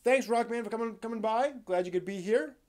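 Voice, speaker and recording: A man's voice: extremely high pitch, a little fast, darkish tone. A man hosting an informal live stream, talking in a casual, rambling way and at times reading aloud. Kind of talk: casual live stream talk about anime with theatrical read-aloud segments.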